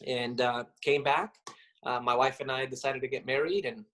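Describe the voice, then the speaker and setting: Man, interview guest, thoughtful, pauses and fillers. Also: mid-range voice, fairly even intonation, fairly bright tone. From Romania. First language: Romanian. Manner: reflective, halting, many fillers. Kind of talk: casual interview.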